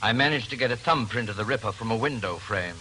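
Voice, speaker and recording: An actor playing a brisk, authoritative police inspector in 1940s radio detective drama, commanding and clipped. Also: male voice, fairly low pitch, animated, pushed and bright, slight background noise. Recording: old radio broadcast, music behind.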